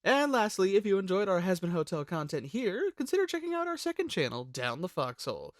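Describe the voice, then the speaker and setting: A man hosting a scripted promotional outro as a voice actor, in a showy, animated manner. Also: sits high, brisk, dry recording, clean studio sound. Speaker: channel host and voice actor.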